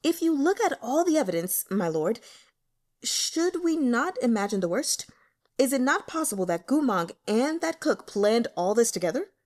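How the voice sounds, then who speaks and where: A woman's voice: fairly high pitch, big pitch swings, moderate pace, darkish tone. A woman voicing a careful, deferential male attendant advising his lord in an audiobook. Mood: cautious, worried. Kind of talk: audiobook character acting.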